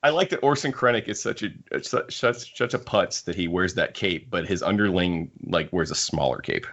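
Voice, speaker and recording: A man with a very low voice hosts a casual book discussion through slightly muffled, lo-fi audio. He talks in a halting way, often repeating and rewording his phrases. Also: animated, a bit bright. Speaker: podcast host.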